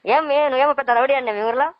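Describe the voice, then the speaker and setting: A woman's voice: sits high, fast, no room sound, close to an everyday voice. A woman playing a stern, threatening police officer on a prank phone call, questioning and scolding bossily in a Rayalaseema Telugu accent. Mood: bossy. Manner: bossy, interrogating. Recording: dull, lo-fi phone call recording.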